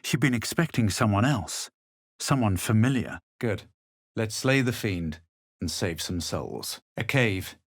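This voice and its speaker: Haughty adventurer, low husky voice. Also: male voice, animated, dry recording, studio quality. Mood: haughty, composed. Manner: deliberate, superior. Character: haughty male fantasy adventurer, coolly superior. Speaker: video game player character. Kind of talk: scripted game voice lines, narration and remarks.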